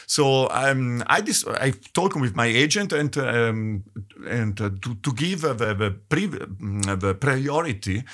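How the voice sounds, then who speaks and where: A middle-aged Italian-accented man answers interview questions in careful, halting English. His voice sits at an ordinary pitch, and he often breaks off and restarts his phrases. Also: animated, fairly bright tone. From Italy. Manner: halting, many restarts, laughs between phrases. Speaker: guest conductor.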